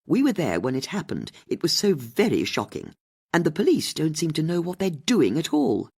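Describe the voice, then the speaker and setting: A woman plays an older English lady detective in an audiobook mystery. She is polite and chatty with a slightly husky edge and very animated pitch, probing shrewdly while sounding casual. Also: normal speed, exaggerated voice.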